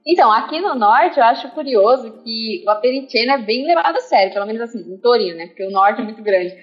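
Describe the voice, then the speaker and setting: A woman's voice: on the high side, expressive, fast, lo-fi sound, soft background music. A woman guest from Bahia, chatting casually on a video call and mixing in Italian phrases. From Bahia, Brazil. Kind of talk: casual chat.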